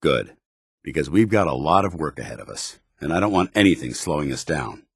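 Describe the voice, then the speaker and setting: An actor playing a stern, duty-bound military commander in a video game, speaking formally with clipped authority. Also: male voice, rumbling low, lively intonation, fairly quick, creaky, breathy edge, no room sound, clean studio sound, exaggerated voice. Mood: proud, steady. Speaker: commanding officer. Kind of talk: scripted video game dialogue.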